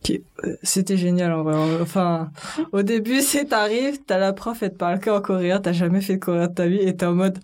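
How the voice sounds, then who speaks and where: A woman's voice: mid-range voice, fairly quick, darkish tone. A young woman from France chatting casually in a slangy way, with lots of fillers. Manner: chatty, loose slangy speech full of fillers. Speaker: interviewee. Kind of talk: casual conversation.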